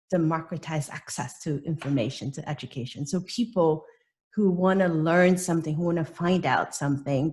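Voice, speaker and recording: Middle-aged woman, slight british accent, lowish voice, reflective, restarts sentences, webinar panelist on a video call. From Hong Kong. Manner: reflective, frequent restarts and rhetorical questions.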